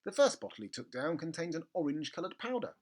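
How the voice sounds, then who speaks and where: Slightly high-voiced man narrating a storybook. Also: very animated, fast, a little mellow. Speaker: storybook reader. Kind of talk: expressive children's story narration. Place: living room at home. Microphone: close studio mic with pop filter.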